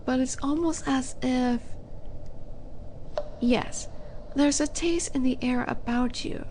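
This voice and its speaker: Woman playing a slow, teasing female demon. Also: high-pitched, darkish tone, slight background noise, poor audio. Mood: intrigued, puzzled. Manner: slow, coaxing, condescending purr with breathy whispered drops. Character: flirty, teasing female demon buyer at a dark auction. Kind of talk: roleplay audio drama addressed to the listener.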